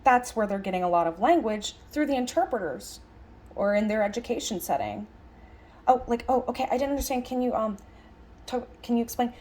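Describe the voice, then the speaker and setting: Higher-pitched woman interpreting a lecture. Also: fairly quick, a little mellow. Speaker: sign language interpreter voicing a signed lecture. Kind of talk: interpreted lecture, spoken conversationally.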